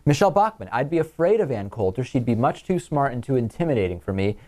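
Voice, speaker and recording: A man's voice: mid-range voice, big pitch swings, quick pace, warm tone. American man hosting a talk show. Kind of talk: radio talk show commentary, reading listener mail.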